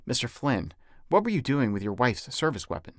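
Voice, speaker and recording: A man's voice: medium pitch, sing-song, a little fast, dry recording, studio quality, close to an everyday voice. A man reading an audiobook as a stern, suspicious male agent with a curt, authoritative manner.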